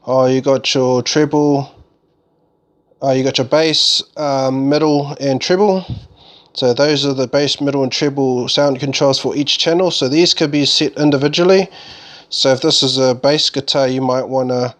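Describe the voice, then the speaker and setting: A man doing an informal, unscripted product demonstration as a reviewer, explaining things in a halting, loosely worded way. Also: medium pitch, a bit bright. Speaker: gear reviewer demonstrating a mixer amp.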